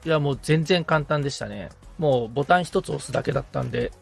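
A man's voice: mid-range voice, quick pace, vocal fry, breathy edge, faint music behind. A man chatting casually as the assistant on a podcast, answering the host's questions. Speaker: podcast assistant and guitar repairman. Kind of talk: casual interview chat.

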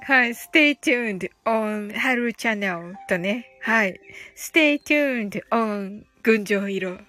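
Casting: woman livestream host, high-pitched and giggly, informal English-phrase teacher, music underneath. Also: drawn-out words, sharp tone. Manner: giggly, often laughing as she talks. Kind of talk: casual chatty livestream, reading listeners' comments.

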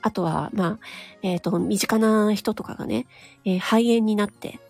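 A woman's voice: medium pitch, moderate pace, gravelly, darkish tone, breathy edge, soft background music. A woman talking frankly on her own audio channel in a casual monologue, with many small nodding asides. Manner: frank, chatty, full of nodding asides and tag particles. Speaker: solo audio broadcaster.

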